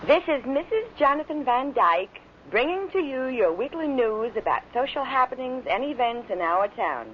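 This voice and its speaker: A woman presenting scripted homemaking and society items in a mid-century radio manner. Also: on the high side. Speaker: local radio women's program presenter. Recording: muffled vintage radio recording, faint noise.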